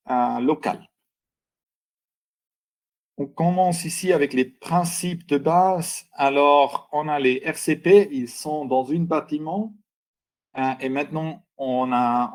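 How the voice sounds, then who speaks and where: A man walking listeners through an online presentation in a language that isn't his first. His voice has a gravelly, creaky edge. He stops often to hunt for words and restarts his sentences. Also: on the high side, darkish tone. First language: not French. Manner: halting, frequent hesitations and restarts. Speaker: webinar presenter.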